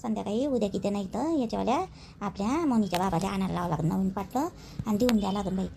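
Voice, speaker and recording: A woman voicing a chatty village housewife in a Marathi cartoon, speaking colloquial Vidarbha Marathi with expressive, drawn-out phrasing. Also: mid-range voice, creaky, a little hiss or hum, close to an everyday voice. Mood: worried. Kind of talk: cartoon dialogue in rural gossip.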